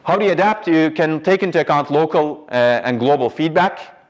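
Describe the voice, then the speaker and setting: A man's voice: on the high side, brisk. A middle-aged man giving a university lecture in a large hall, explaining step by step and often checking in with "right?". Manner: explanatory, pointing at a slide, frequent "right?" and restarts.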